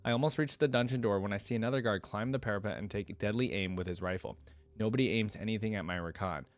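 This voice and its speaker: A man's voice: lowish voice, fast talker. A man hosting a reaction video, reading a story aloud and adding casual, slangy asides.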